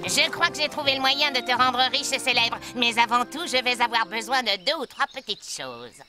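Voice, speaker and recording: A woman's voice: a little high, lively intonation, normal speed, music in the background, natural voice. A woman voicing a clever, confident cartoon cat with grand schemes and a self-assured, persuasive manner. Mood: scheming.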